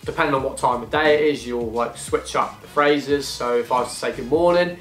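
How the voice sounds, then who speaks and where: A young man from England talks casually in a rambling, self-deprecating way, chatting on while eating. His voice sits at an ordinary middle pitch. The room has a noticeable echo, with faint music underneath. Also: fairly quick. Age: 20s. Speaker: YouTuber. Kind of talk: casual vlog Q and A.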